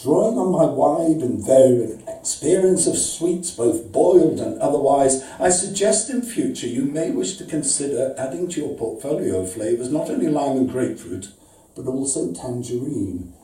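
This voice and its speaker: A man's voice: mid-range voice, lively intonation, normal speed, dark tone, lightly acted. A man voicing a pedantic, pompous, aggrieved customer reading out a formal, wordy letter of complaint as a live comic story. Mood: pompous, earnest. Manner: formal, wordy, precise, mock-serious. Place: indoor arts venue reading, before an audience. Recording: roomy, distant live event recording.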